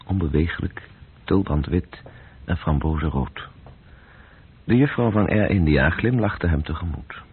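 A man narrating a literary radio play in a measured, detached, sombre manner. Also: low-pitched, expressive, breathy edge, heavily acted. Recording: thin, phone-like old radio recording.

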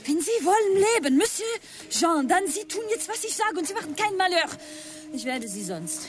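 High, urgent French-accented woman. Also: very animated, normal speed. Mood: commanding, furious. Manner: urgent, commanding, broken German syntax. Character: agitated, resolute French-speaking woman confronting a villain. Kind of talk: radio drama acting.

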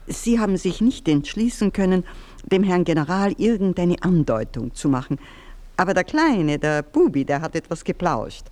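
An actress playing an older baroness and mother in a classic radio play, speaking in a brisk, commanding manner. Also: lowish voice. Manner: brisk, commanding, lively inflection. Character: aristocratic older woman, the baroness of the house.

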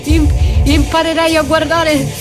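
An actress playing a battered, dreamy and desperate wife in a poetic theatrical radio monologue, halting and repeating her words. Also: sits high, flat delivery. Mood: yearning. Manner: halting, repeating words, swinging from murmur to cries. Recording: music under the voice. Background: music.

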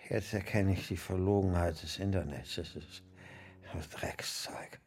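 An actor playing a world-weary, stubborn older uncle in a radio drama, husky and melodramatic in a brooding way. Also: male voice, low voice, fairly even intonation, a little slow, darkish tone. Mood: dreamy, stubborn. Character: world-weary ailing uncle, stubborn, quixotic civil servant.

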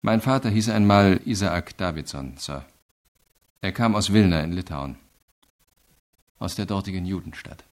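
A man playing an earnest, reflective investigator in a radio crime drama, explaining things in a measured, deliberate way. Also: deep voice, animated. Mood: earnest, intense. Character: earnest, reflective male investigator reporting to his superior.

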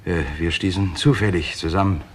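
An actor playing a talkative, self-deprecating writer with a hesitant, drily ironic manner in a 1960s radio crime play. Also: male voice, deep voice, fairly even intonation, brisk, natural voice. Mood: earnest. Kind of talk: 1960s German radio crime drama acting. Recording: 1960s radio recording, faint noise.

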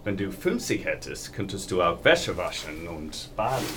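Plainspoken, hard-up man, lower register, radio drama with loud outdoor traffic. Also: sing-song, normal speed. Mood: matter-of-fact. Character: poor American man, plainspoken, resigned yet indignant. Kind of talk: radio drama, documentary-like interview. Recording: noisy outdoor urban street with traffic. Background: outdoor traffic noise.

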